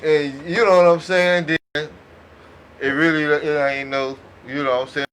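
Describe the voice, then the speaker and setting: Male American podcast co-host, fairly high voice, slangy and rambling, some noise behind him. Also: flat delivery, unhurried, a bit bright. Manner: rambling, slangy, repeats himself, acts out advice in dialogue. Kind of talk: casual podcast chat. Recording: noisy background.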